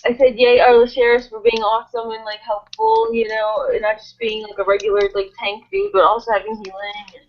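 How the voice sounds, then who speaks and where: A woman's voice: a little high, brisk, hardly any pauses, a little hiss or hum. Rambling woman, dull lo-fi sound. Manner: rambling, full of "like" and "um". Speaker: cast member of an audio drama. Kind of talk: casual chat.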